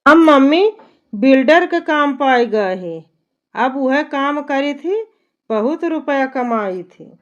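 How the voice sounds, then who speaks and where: High-pitched eager Awadhi cartoon son-in-law. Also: expressive, takes it slowly, fairly bright tone, exaggerated voice. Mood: bragging. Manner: pleading, boastful, respectful. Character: eager, bragging young son-in-law, cartoon village man. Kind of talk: cartoon comedy dialogue. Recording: dull lo-fi cartoon soundtrack.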